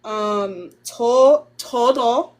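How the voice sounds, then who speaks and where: A young woman casually explaining Korean grammar on a live stream and slowly sounding out Korean phrases. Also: a little high, darkish tone.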